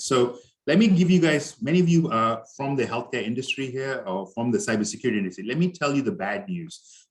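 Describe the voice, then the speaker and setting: A middle-aged man with an Indian accent presents at a conference in a loose, conversational way, full of fillers and restarts. His voice sits a little low. He speaks over a video call.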